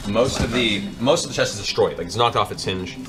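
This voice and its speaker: A man with a fairly low voice narrates a tabletop fantasy game as game master. He improvises vivid descriptions and tells them with a storyteller's sense of drama. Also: a little fast, over music.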